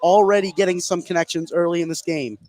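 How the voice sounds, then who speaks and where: A man's voice: high-pitched, fairly even intonation, quick pace, a little mellow. A man giving live basketball analysis as a color commentator in a conversational, explanatory way. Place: indoor gym during a live game. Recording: faint gym noise behind.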